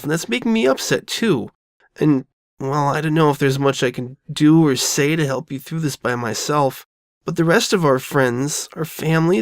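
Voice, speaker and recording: A woman voicing a loyal, reassuring young boy dragon who talks plainly and gently insists, in an audio drama. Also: sits low, dry recording, clean studio sound. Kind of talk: all-dialogue fan audio drama.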